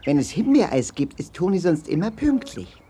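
A woman voicing a clever, cheerful hare in a children's audio play, with a jolly, animated manner. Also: fairly low pitch, moderate pace, a little hiss or hum. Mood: curious, concerned.

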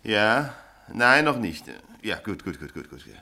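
A man's voice: on the low side, big pitch swings, unhurried, noisy background. A man acting in a German radio play as a harried, impatient newspaper editor in chief who is blunt and dismissive. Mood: harried, curt.

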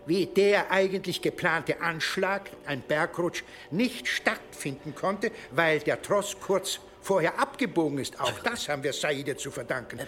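An actor in a radio play playing a powerful medieval archbishop, accusing and mocking in a deliberate manner. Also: male voice, fairly high pitch, animated, a bit bright, slight background noise. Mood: cold, triumphant.

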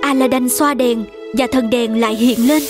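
Female storyteller, very high voice, scripted children's fairy tale narration, music behind. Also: fairly even intonation, moderate pace.

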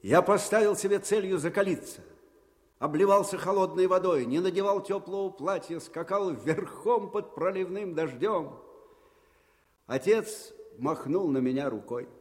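A man's voice: sits high, normal speed, natural voice. An actor playing a proud, earnest Russian military commander recalling his life in a radio play monologue. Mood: proud, reflective. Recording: long hall reverb.

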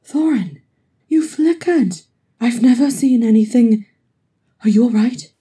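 Woman voicing a high, worried male dwarf. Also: expressive, unhurried, mellow, husky. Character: Farin, worried, affectionate male dwarf ghost.

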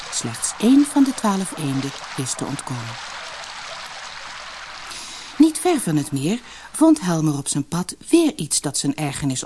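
A woman narrating a fairy tale audiobook in an expressive, measured, dramatic storybook style. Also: sits low, background noise.

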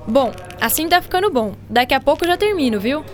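A woman's voice: high-pitched, animated, fast talker. A woman with a Brazilian accent playing a friendly, reassuring doctor treating a child in a radio drama. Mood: friendly, explaining. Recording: noisy ambience behind voice.

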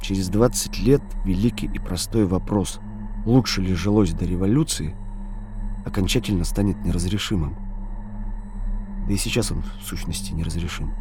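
An actor narrating an audio drama as a serious, measured storyteller with literary delivery. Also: male voice, low-pitched, music in the background, natural voice. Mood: sombre. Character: serious male third-person narrator of a bleak novel.

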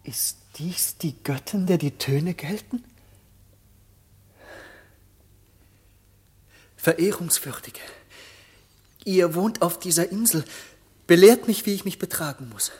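An actor playing an awestruck, grieving young shipwrecked prince, declaiming reverent lofty verse in a classic radio drama. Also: male voice, high voice, lively intonation, unhurried, a little mellow, breathy edge, long reverb tail, heavily acted.

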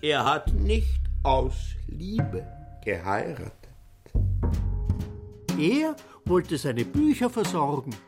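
A man's voice: on the high side, wildly swooping pitch, slow pace, long pauses, music in the background. A man delivering dramatic scripted narration in a radio play. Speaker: narrator, commentator.

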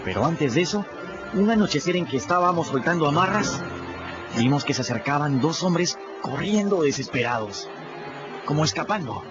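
A man with a Peruvian accent narrates in the first person for a radio drama, his voice moving lively up and down. The audio is dull, and music plays underneath. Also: a little high, moderate pace. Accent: Peruvian Spanish. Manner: storytelling, expressive.

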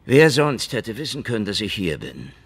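Cynical noir detective, low voice. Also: male voice, big pitch swings, normal speed, a bit bright, breathy edge, heavily acted. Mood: sardonic, challenging. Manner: sardonic, world-weary, slangy. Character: cynical, hardboiled Soviet secret-police detective. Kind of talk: noir radio drama acting and narration.

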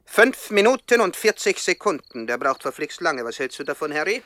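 A man's voice: a little high, sing-song, a little fast. A man playing a cold, impatient, ruthless gangster boss in a radio crime comedy, clipped and commanding. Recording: slightly noisy 1960s radio recording.

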